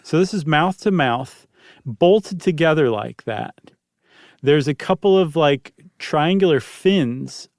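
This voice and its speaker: A man's voice: a little high, animated, slow, darkish tone, no echo, clean studio sound. A man co-hosting a podcast, explaining things in a casual, chatty and descriptive way. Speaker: podcast co-host.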